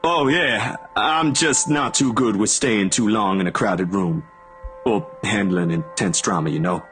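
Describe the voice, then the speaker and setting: A man's voice: fairly low pitch, a little slow, a bit bright. An actor playing a kind, slightly awkward young guy in a visual novel, informal and slangy. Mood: awkward, easygoing. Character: Zachary, awkward, kind, laid-back casual young guy. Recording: music playing underneath. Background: game music.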